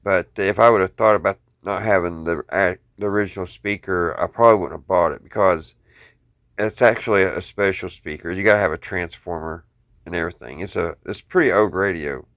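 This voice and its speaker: A man's voice: low voice, brisk, a bit bright, no echo. An American man talking casually to viewers in a folksy, rambling show-and-tell, thinking aloud as he goes. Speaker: hobbyist video host.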